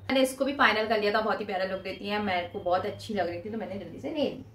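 A woman's voice: medium pitch, quick pace, a bit bright, echoey room. A woman in her twenties talking casually to camera in a shopping haul vlog, holding up items as she speaks. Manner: chatty, showing items to camera with her hands. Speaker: vlogger. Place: small room indoors.

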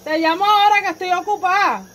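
A woman's voice: very high voice, quick pace, a bit bright. A woman in her thirties with a Puerto Rican accent chats casually and playfully in slang, outdoors into a phone. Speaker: vlogger making prank calls. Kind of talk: casual chat to camera and on video calls. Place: outdoor porch at night. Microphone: phone at close range. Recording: noisy outdoor night recording with insects.

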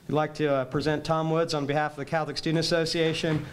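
A young man introducing a guest speaker in an indoor chapel hall, halting with many pauses and restarts. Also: a little high, level tone, smooth. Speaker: student organizer introducing speaker. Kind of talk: introduction speech. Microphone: podium mic at a distance.

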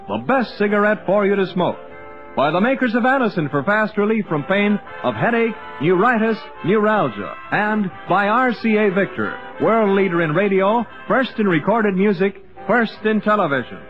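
An American man doing polished, promotional announcements as a 1950s radio announcer. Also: high voice. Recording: dull 1950s radio recording.

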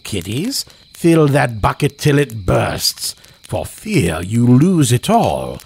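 A man's voice: fairly low pitch, vocal fry, breathy edge. A man speaking as a podcast host and storyteller, delivering scripted horror narration in a dramatic, theatrical way.

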